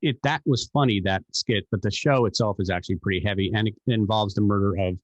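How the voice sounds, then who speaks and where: New man co-hosting a podcast, fairly low voice, talks in quick animated rambles. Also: no room sound, highs cut off. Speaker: podcast co-host. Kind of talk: casual podcast chat.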